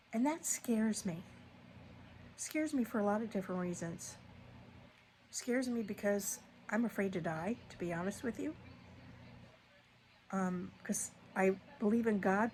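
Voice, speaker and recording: A woman's voice: mid-range voice, a little mellow, a little hiss or hum. A woman in her sixties talking candidly and casually to her viewers, rambling with fillers and restarts. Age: 60s. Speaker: vlogger.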